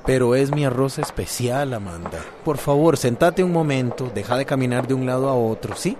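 A Costa Rican man playing a gentle, supportive companion in a radio drama, coaxing and soothing. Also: medium pitch, animated, normal speed, noisy background, natural voice. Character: gentle, supportive man calming a loved woman.

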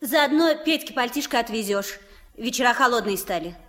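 An actress playing a homely, brisk mother in a Russian radio drama, on a noisy old recording. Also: on the high side, animated, a bit bright. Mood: brisk, fussing.

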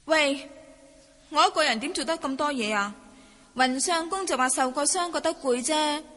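Sharp, high-pitched feisty young wife. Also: female voice, normal speed. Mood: petulant, jealous. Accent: Cantonese. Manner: pert, sarcastic, petulant. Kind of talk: Cantonese radio drama acting. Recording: reverberant hall sound.

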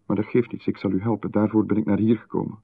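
A man playing a smooth, menacing visitor who talks down to a writer in a radio play, calmly condescending. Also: on the low side, quick pace. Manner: calmly condescending, turning sharply indignant. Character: smooth menacing male visitor, patronizing official. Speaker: visitor who calls himself Marchon. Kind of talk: 1990s radio play acting. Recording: narrow phone-like radio band.

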